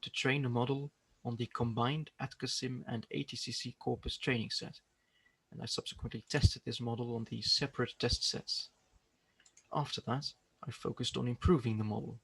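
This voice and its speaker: A man giving a prepared research presentation in a measured, explanatory way. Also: medium pitch, fairly even intonation, creaky, a bit bright, breathy edge, no room sound.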